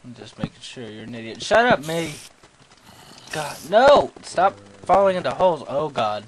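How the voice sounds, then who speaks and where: A young man giving goofy, self-mocking commentary while playing a game, yelling at monsters and talking to himself. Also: on the high side, very animated, normal speed. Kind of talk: let's play commentary. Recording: noisy home recording.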